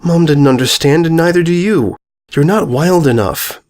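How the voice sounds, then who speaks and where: A man's voice: a little high, moderate pace, darkish tone, pitched above the performer's own voice, lightly acted. A man voicing a wistful, stubborn young girl in a storybook, pleading with emphatic repetition. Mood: insistent. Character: young girl Mia, wistful, stubborn, longing for wild horses.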